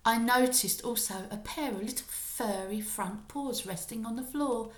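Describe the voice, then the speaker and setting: A woman reading a children's story aloud like a primary school teacher, with expressive, emphatic dramatic narration. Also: fairly high pitch, a little slow, roomy sound.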